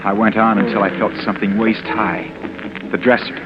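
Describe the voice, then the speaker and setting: A young actor in a 1940s radio drama playing a frightened fugitive who narrates in a tense, hushed voice, heard through a muffled old broadcast with loud hiss. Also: male voice, lowish voice, little pitch movement, fairly quick, close to an everyday voice. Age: young adult. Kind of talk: 1940s radio acting, first-person narration.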